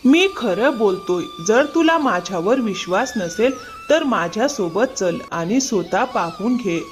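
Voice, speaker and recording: A man voicing an excited, gossiping village neighbour, insistent and emphatic, in a cartoon moral story. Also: high-pitched, a little slow, soft background music.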